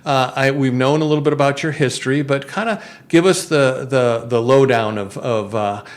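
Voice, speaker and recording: Male broker hosting a radio show, halting and rambling, average pitch. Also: fairly even intonation. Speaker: real estate broker and radio host. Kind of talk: casual radio interview. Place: radio studio.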